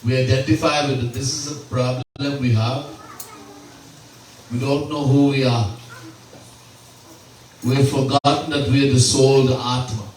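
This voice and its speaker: A man, a swami, giving a slow devotional sermon at a home gathering, repeating key phrases and mixing in Hindi terms. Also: mid-range voice, flat delivery, a little mellow, slight background noise. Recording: very echoey room, mic far off.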